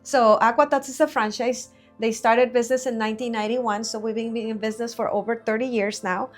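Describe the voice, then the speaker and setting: Earnest Mexican-born woman, flat steady voice. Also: a little high, soft background music. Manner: earnest, measured, with frequent uh fillers. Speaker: guest business owner. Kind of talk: interview answers. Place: studio.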